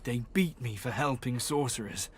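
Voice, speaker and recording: A man playing a wounded, disillusioned soldier of a religious order in a video game, earnest and pleading with halting, laboured delivery. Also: medium pitch, a little hiss or hum, lightly acted. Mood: anguished, earnest. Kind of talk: dramatic video game voice acting.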